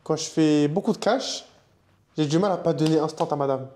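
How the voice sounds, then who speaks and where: A man's voice: a little high, a little fast, dark tone. A young man raised in Canada and Switzerland, a guest in a casual interview, talking in a slangy conversational way. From Morocco, raised in Canada and Switzerland.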